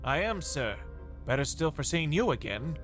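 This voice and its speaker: Casting: respectful, eager knight played by a man, lightish pitch, animated, courteous. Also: moderate pace, faint music behind. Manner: deferential, polite. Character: polite, eager male Jedi knight, respectful war veteran.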